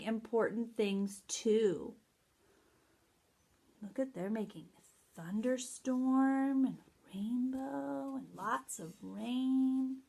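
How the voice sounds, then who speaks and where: A woman teaching young children in a story time, with a sing-song, animated way of talking straight to them. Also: a little high. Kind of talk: children's story time lesson with songs and read-aloud.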